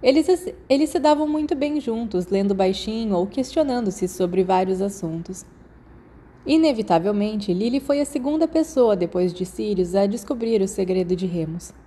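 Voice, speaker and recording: A woman's voice: medium pitch, expressive, normal speed, mellow. A woman with a Brazilian accent reads scripted fiction as an audiobook narrator.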